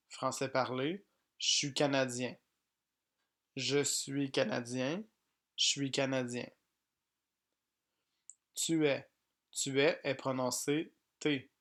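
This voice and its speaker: A man teaching pronunciation, reading each example sentence slowly and plainly, often twice. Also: mid-range voice, fairly bright tone. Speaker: French language teacher. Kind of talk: slow pronunciation drill.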